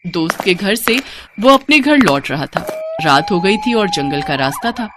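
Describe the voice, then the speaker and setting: A woman's voice: mid-range voice, sing-song, a little slow, background noise. A woman storyteller reading scripted fairy-tale narration for a cartoon in a dramatic storytelling manner.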